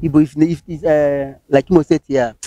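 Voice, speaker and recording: A man's voice: on the high side, little pitch movement, moderate pace, mellow. A man from Rivers State, Nigeria, speaking with a Nigerian Pidgin accent and answering hesitantly, with many fillers and restarts. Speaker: interviewee. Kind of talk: casual street interview.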